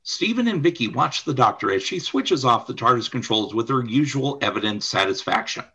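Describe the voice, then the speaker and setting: Animated male narrator, bright and slightly husky. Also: medium pitch, fairly quick. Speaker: narrator and announcer. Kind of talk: scripted radio play narration.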